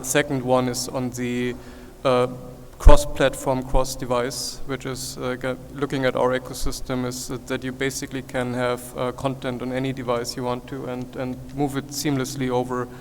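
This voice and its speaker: A man with a foreign accent speaking as a panelist in a semi-formal way, haltingly explaining and listing points. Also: mid-range voice. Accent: foreign, non-Korean accent. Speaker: panelist, corporate investor. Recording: noisy, reverberant conference hall.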